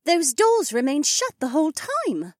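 A man voicing a brisk, no-nonsense woman in an audiobook, with a pointed, questioning manner. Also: very high-pitched, wildly swooping pitch, no room sound, studio quality, pitched above the performer's own voice, exaggerated voice.

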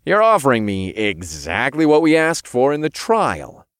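A man voicing a defiant, sarcastic young hero and demon king in a fantasy audiobook, forceful and informal. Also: lowish voice, very animated, measured pace, no echo, clean studio sound. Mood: snarky.

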